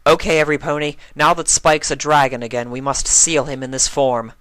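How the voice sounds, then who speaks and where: Commanding pony princess, voiced by a man: formal, kind but directive, raised pitch, storybook reading. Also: flat delivery, brisk, a bit bright, clear, resonant tone, no echo, close to an everyday voice.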